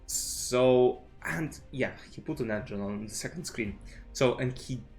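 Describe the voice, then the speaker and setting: A man's voice: medium pitch, a little slow, a little mellow, faint music behind. Man, rambling streaming chat. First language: Russian. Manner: rambling, full of "you know" and restarts. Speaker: chess streamer. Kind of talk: casual commentary vlog. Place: home streaming desk setup. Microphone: desk streaming mic with webcam.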